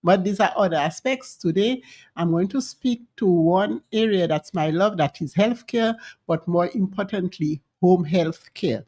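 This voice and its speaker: A woman's voice: fairly low pitch, unhurried. Chatty Dominican nurse in her sixties. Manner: warm, chatty, with laughter and asides. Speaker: nurse and home health provider, guest. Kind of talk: casual radio interview.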